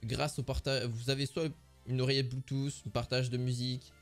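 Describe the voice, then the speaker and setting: Male product reviewer, casual and halting, mid-range voice over quiet background music. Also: medium pitch, level tone. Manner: hesitant, many filler sounds and restarts.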